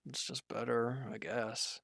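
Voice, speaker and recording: A man playing an injured, weary villain in a roleplay audio drama, guarded and quietly considerate, speaking in halting, hesitant lines. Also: level tone, vocal fry, husky, no room sound, clean studio sound, full character voice. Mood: weary, reluctant. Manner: hesitant, halting, low-key, grudging. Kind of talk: roleplay audio drama, intimate one-sided dialogue.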